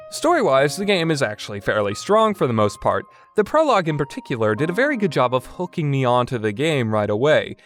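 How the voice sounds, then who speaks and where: Male podcast reviewer, mid-pitched and lively in intonation, conversational and opinionated, with music underneath. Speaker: podcast host reviewing visual novels. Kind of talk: semi-scripted review commentary. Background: background music.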